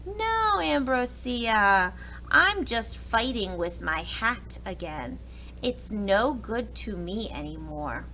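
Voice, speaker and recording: A woman voicing a grumbling, fretful but soft-hearted old uncle in a storybook, speaking in emphatic exclamations. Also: mid-range voice, very animated, slow pace, slight background noise, full character voice, rough recording. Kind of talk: storybook character voice.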